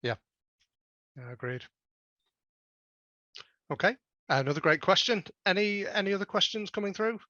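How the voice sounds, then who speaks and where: Bright-voiced man hosting a webinar. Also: high voice, lively intonation, moderate pace, pauses often, no echo, dull audio. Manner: reading questions out, polite and upbeat. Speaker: webinar host. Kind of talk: hosting a question session.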